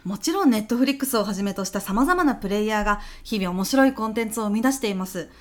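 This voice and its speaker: A woman playing an earnest, polite businesswoman pitching to a client in a comedy audio drama, speaking deferentially. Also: animated, fast, a little mellow, natural voice. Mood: earnest, persuasive.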